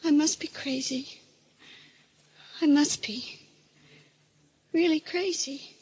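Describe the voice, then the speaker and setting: An actress playing a fragile, high-strung wife who doubts her sanity, hesitant and pleading in old-time radio melodrama style. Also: takes it slowly, dull audio. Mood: despairing.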